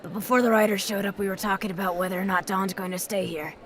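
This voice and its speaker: Actress as a tough survivor, bright and creaky. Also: female voice, mid-range voice, fairly even intonation, fast, lightly acted. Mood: blunt. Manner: blunt, wry, plain-spoken. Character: tough, blunt, protective female wasteland survivor. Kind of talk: post-apocalyptic audio drama acting.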